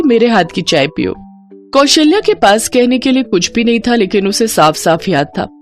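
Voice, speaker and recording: A woman narrating a scripted story in a dramatic, expressive storytelling manner. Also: medium pitch. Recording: music bed under the voice. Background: background music.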